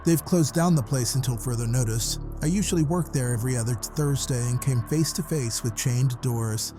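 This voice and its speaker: A man's voice: mid-range voice, normal speed, over music, close to an everyday voice. An actor playing a folksy, wry hospital janitor who calls in and tells his story matter-of-factly in a horror audio drama. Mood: wry, gossipy. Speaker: caller.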